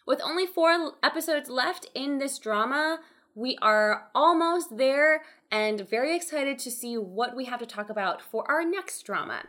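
A woman's voice: high voice, expressive, unhurried, sharp tone. A woman co-hosting a podcast, recapping a drama and wrapping up the episode. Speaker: podcast co-host. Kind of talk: casual podcast chat.

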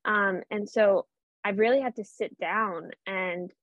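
A woman's voice: medium pitch, slow, a bit bright, dry recording. Earnest teenage girl with a Boston accent. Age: teens. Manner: earnest, thoughtful, with frequent ums. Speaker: podcast guest. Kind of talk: casual interview answers. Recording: dull lo-fi sound.

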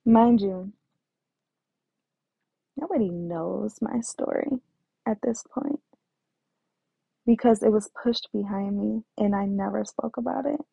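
A woman's voice: medium pitch, takes it slowly, vocal fry, pauses often, mellow, breathy edge, no room sound. A woman telling her own life story in a hesitant way, with many fillers and restarts. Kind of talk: personal storytelling. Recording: tinny phone-like dry sound.

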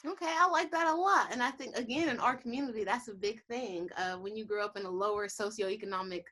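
A woman's voice: medium pitch, quick pace, sharp tone. Chatty young woman interviewer from Los Angeles. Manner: chatty, friendly, relating guest's story to her own life. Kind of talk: casual interview conversation.

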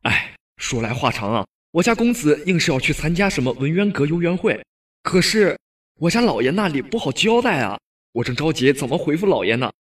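An actor playing an anxious, flustered, apologetic young manservant with a husky voice in a Chinese period audio drama. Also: male voice, a little high, very animated, a little fast.

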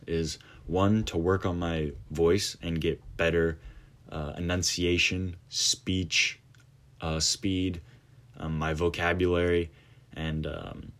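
Podcast host, late teens, male, very low voice, loose rambling delivery full of ums. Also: fairly even intonation, takes it slowly. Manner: rambling, many fillers and restarts. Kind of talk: casual monologue.

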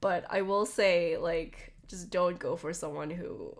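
A woman's voice: lowish voice, fairly even intonation, unhurried. A young adult woman with a blocked nose and a slightly nasal voice, rambling casually on her podcast with lots of "like"s and restarts. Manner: chatty, rambling with frequent "like" and restarts. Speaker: podcast host. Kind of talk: casual solo podcast talk.

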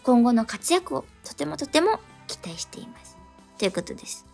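A woman with a fairly high voice hosts a radio programme. She reads listener letters clearly and chats attentively with brief, agreeing replies. Music plays behind her. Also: sing-song, moderate pace, darkish tone. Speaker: radio show co-host. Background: background music.

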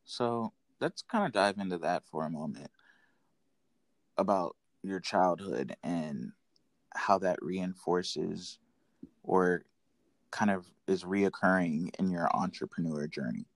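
A man hosts an informal interview, asking conversational questions. His voice is low in pitch. The sound is dry, with hardly any room echo. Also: takes it slowly, vocal fry. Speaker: interview host.

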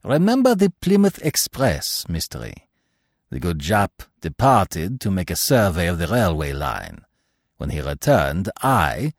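A man's voice: medium pitch, no room sound, clean studio sound, exaggerated voice. A man voicing a vain, fussy middle-aged male detective who lectures in a pompous, self-assured way, in an audiobook reading.

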